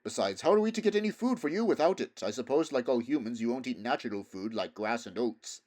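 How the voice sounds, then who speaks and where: A man's voice: mid-range voice, expressive, fast talker, darkish tone, natural voice. A proud talking horse from a storybook, played by a man. He speaks in a pompous, emphatic way, lecturing like a worldly elder companion. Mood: lofty, matter-of-fact. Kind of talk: storybook character voice.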